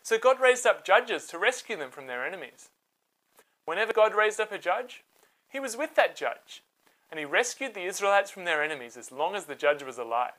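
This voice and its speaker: A woman narrating a scripted children's Bible story in a dramatic, expressive way. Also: mid-range voice, brisk, poor audio.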